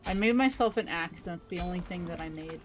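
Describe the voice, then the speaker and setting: A woman's voice: lowish voice, fairly quick, noisy background. A woman chatting while playing a game with friends, talking in loose, filler-laden sentences. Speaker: player in a group game. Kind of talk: casual gaming chat.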